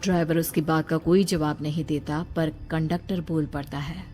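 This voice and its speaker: A woman's voice: low-pitched, steady tone, normal speed, dark tone. A woman narrator reading a scripted horror story in a storytelling style. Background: music.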